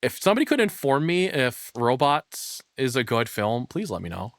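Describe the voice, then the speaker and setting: A man streams in a casual, off-the-cuff way, sounding tired. He is crude and self-deprecating, swearing freely, with a medium-pitched voice. The sound is close and dry, with little room in it. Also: very animated, moderate pace, no echo. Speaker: streamer reacting to a video. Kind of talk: casual live-stream commentary.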